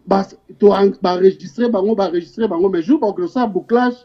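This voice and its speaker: A man with a high voice and a Congolese accent talks on a dull phone line. His explanation is casual and halting, circling back over words. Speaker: caller. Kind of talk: casual spontaneous explanation in mixed languages. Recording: muffled phone call.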